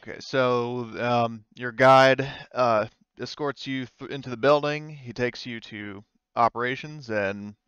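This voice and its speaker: A man running a tabletop game as game master, improvising narration and pausing mid-sentence. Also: mid-range voice, fairly even intonation, a bit bright, clear, resonant tone, dry recording, muffled recording. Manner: halting, pauses mid-sentence.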